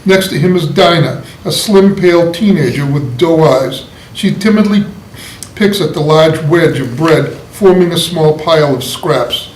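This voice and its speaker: A man's voice: on the high side, unhurried. A man reading scripted stage directions aloud as the narrator of a play read-through. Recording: echoey room, faint noise.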